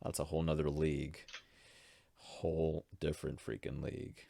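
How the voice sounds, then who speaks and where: A man's voice: a bass voice, little pitch movement, normal speed, vocal fry, mellow. A man co-hosting a casual podcast with playful, teasing banter. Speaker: podcast co-host. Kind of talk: casual group chat about pop culture.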